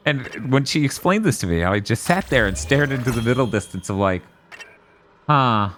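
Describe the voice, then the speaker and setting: A man's voice: medium pitch, moderate pace, long pauses, slight background noise. Joking American-raised male streamer. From United States. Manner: animated, joking, swears and laughs often. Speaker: streamer host. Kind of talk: casual chat over gameplay.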